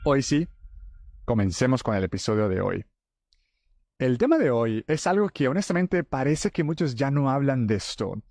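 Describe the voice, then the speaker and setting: A man's voice: mid-range voice, lively intonation, moderate pace, a little mellow, no room sound, studio quality. A man from El Salvador, hosting a faith podcast and earnestly talking straight to his listeners as friends. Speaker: podcast host.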